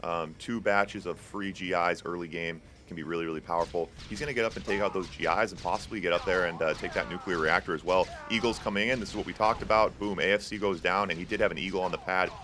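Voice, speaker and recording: Deep-voiced man casting a strategy game. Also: steady tone, fast, few pauses, pushed and bright, slight background noise. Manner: casual and chatty, many fillers, jokes with chat. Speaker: tournament host and caster. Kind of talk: live esports play-by-play commentary.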